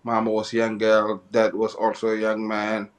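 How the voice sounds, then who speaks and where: A man from Somalia, a guest in an interview telling his life story, often halting and repeating phrases as he goes. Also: lowish voice, monotone.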